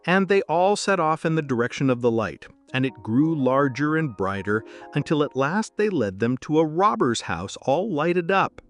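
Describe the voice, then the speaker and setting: A man's voice: fairly high pitch, big pitch swings, moderate pace, few pauses, faint music behind. A man reading scripted bedtime fairy-tale narration as a storyteller.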